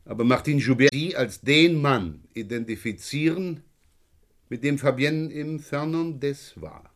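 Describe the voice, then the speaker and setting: A man's voice: mid-range voice, a little slow, natural voice. Male actor as police inspector, mid-range voice, shrewd, sardonic, probing questions, radio crime drama. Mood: shrewd, needling. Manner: probing, wry, pointed questioning.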